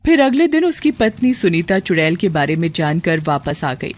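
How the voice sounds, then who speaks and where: A storyteller narrating a scripted moral horror tale for an animated story. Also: medium pitch, moderate pace, slight background noise.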